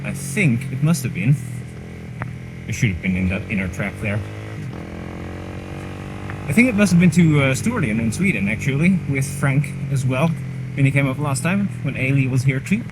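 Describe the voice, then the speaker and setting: A man's voice: medium pitch, very animated, moderate pace, pauses often. A man chatting casually to the camera while riding a motorcycle, rambling and poking fun at himself, recorded on a helmet mic. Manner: chatty, rambling, self-mocking. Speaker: motorcycle vlogger. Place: on a motorcycle on a country road outdoors. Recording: helmet mic with clear engine noise. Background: engine and wind noise.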